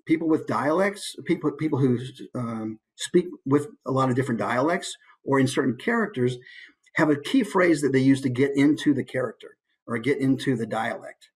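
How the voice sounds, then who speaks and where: Slightly husky male presenter. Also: mid-range voice, moderate pace. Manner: demonstrates points with brief put-on voices. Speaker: voice actor giving a coaching presentation. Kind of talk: informal instructional presentation.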